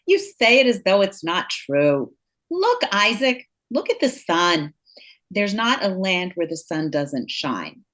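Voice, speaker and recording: Woman acting an earnest wife, very animated intonation. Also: medium pitch, takes it slowly. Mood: hopeful, pleading. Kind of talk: stage drama acting.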